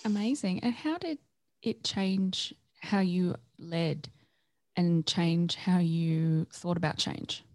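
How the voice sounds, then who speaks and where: A woman hosts a podcast interview with a low voice. Her sound is dry and close to the mic, with no room echo. She leads the conversation in a friendly, encouraging way. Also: slow, darkish tone. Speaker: podcast host.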